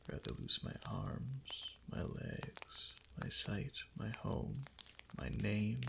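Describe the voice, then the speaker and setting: Man acting a gravelly, low-voiced, obsessive fox-spirit husband. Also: takes it slowly, background noise, exaggerated voice. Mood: adoring, wistful. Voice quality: breathy, gravelly, often whispered. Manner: soft, hesitant, clingy pillow talk. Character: obsessively devoted yandere kitsune husband, tender and possessive. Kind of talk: intimate romantic ASMR roleplay. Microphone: close ASMR microphone.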